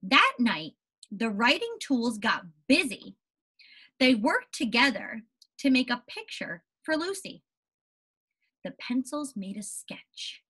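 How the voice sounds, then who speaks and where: Young lady teacher reading a kids story slowly, expressive, voice a bit breathy and raspy. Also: on the high side, rough recording. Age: young adult. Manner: animated, deliberate storybook reading with questions to the listeners. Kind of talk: read-aloud lesson for young children.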